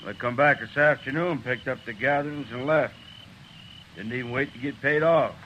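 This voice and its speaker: A man playing a surly, scornful cattle trail boss with a gruff, mocking manner in a 1950s radio western. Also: medium pitch, moderate pace, natural voice. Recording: lo-fi old radio, faint noise.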